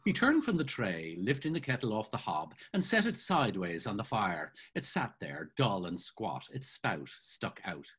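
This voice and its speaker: Older man narrating with expressive lilt. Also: lowish voice, moderate pace, few pauses, husky. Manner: savoring, expressive storytelling. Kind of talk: dramatic literary reading. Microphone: video call webcam.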